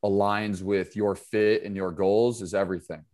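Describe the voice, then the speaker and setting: A man's voice: fairly low pitch, moderate pace. A man from upstate New York, a basketball trainer, talking loosely and casually as a podcast guest. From upstate New York, USA. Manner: relaxed, loose, filler-heavy talk. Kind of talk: casual interview chat.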